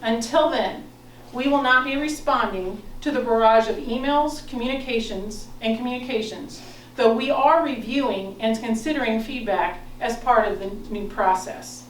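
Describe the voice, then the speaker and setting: An American woman reading a prepared statement as a board president, in a formal, measured, firm manner. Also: a little high. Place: indoor public board meeting. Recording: echoey meeting room, distant mic, noise.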